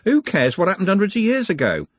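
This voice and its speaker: A man's voice: sits high, huge pitch swings, fast talker, slightly rough, no echo, pitched above the performer's own voice, exaggerated voice. A man voicing a casual, dismissive young man in a storybook, slangy and breezy.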